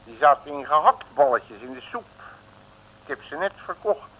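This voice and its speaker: Brash, slangy detective, higher-pitched. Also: male voice, animated, moderate pace, fairly bright tone. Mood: confiding. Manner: colloquial, folksy, blustering. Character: brash, slangy male detective, impatient and jovial. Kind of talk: 1960s radio serial acting. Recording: old noisy radio recording, faint hiss.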